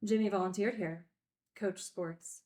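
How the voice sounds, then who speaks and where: A woman's voice: medium pitch, steady tone, unhurried, dark tone, lightly acted. Frank, wry woman, recovering addict acted by a woman. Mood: wry, guarded. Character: Frankie, candid woman, recovering addict and group-home teacher. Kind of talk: naturalistic screenplay table read.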